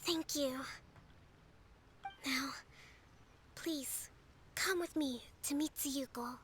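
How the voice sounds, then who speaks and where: High-voiced, dignified young priestess. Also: female voice, noisy background. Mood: pleading. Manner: formal, measured. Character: earnest, dignified young priestess leader of an island. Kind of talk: anime game voice acting.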